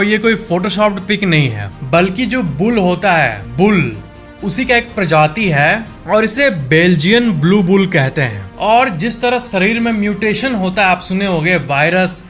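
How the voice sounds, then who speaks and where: High-voiced man narrating facts over music. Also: fairly bright tone. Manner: conversational, explains by repeating points, addressing viewers directly. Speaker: presenter of a facts video. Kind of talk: informal explanatory voice-over narration. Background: background music.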